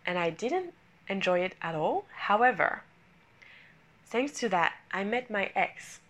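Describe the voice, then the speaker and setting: A woman in her twenties speaks English with a French accent, clearly not her first language. She tells her own story in an earnest, personal way, repeating and reworking phrases as she goes. Her pitch sits in a middle range for a woman. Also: big pitch swings, a little slow, a bit bright. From France. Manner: earnest, repetitive phrasing, non-native English grammar. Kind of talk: personal motivational monologue.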